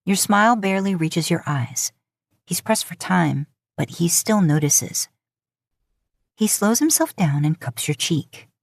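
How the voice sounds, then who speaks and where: A woman's voice: lowish voice, expressive, a little slow, gravelly, no room sound. A woman narrating scripted romantic fiction as an audiobook-style storyteller, recorded close to the mic.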